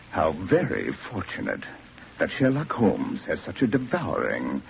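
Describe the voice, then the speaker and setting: An actor playing a smug, scheming villainous professor in 1940s radio style, measured and gloating. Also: male voice, rumbling low, wildly swooping pitch, vocal fry, full character voice. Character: smug scheming criminal mastermind professor, middle-aged or older man. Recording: muffled noisy 1940s radio recording.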